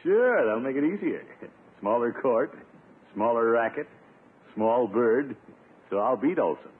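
A man's voice: mid-range voice, lively intonation, measured pace, lightly acted. Pompous middle-aged American blusterer male actor, swooping delivery, self-satisfied, vintage radio sitcom, narrow tinny sound. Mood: smug, confident. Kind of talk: 1950s radio situation comedy acting.

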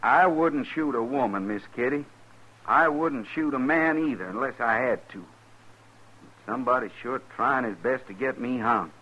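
A man's voice: mid-range voice, moderate pace, lightly acted. A man playing an earnest accused gunman in a 1950s western radio drama, with a rural American accent and deferential, rustic talk. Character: accused gunman, earnest, plain-spoken drifter in the Old West. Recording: muffled old radio recording.

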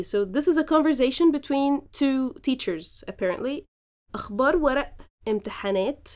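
A woman hosting a podcast, teaching phrases slowly and explaining each example in turn. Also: on the high side, a little mellow. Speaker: podcast host and Arabic teacher. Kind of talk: language lesson podcast.

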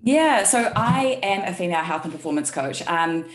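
A woman with a New Zealand accent, a coach and guest on a podcast, talking in a relaxed, open way with many ums. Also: on the low side, moderate pace, echoey room. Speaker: guest, health and performance coach. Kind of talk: casual interview chat.

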